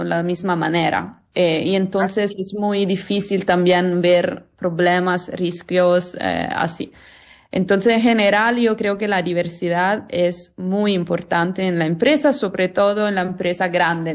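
A woman with an Italian accent answers interview questions conversationally in a second language, often searching for words. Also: fairly low pitch. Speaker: interview guest, lawyer and illustrator.

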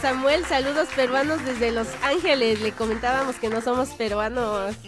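A woman's voice: sits high, steady tone, moderate pace, music in the background. A Mexican woman co-hosting a radio show, reading out listeners' greetings in a chatty, easygoing way. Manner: chatty, reads out greetings with light laughs. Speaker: radio co-host.

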